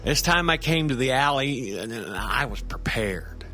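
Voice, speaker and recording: A man acts out an earnest everyman narrating a creepy audio drama tale. He stammers and restarts in a folksy, conversational way, hesitant throughout. Music sits under his mid-pitched voice. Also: expressive, normal speed, sharp tone. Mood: proud, scheming. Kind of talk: first-person audio drama monologue.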